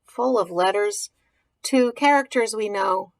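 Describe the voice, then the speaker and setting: A woman reads a picture book to young children at an unhurried pace, in a mid-pitched voice. She pauses to ask questions and think aloud, explaining things in simple words. The sound is close and dry. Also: no room sound. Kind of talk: read-aloud with informal commentary to young children.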